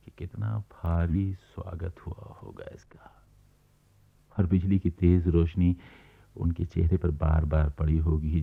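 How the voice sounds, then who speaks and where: A man playing the reflective narrator of a radio play, telling the story in a measured, sympathetic way. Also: sits low, gravelly, husky, heavily acted. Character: reflective male narrator, sympathetic observer of grandmother. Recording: tinny, narrow-band archival radio recording.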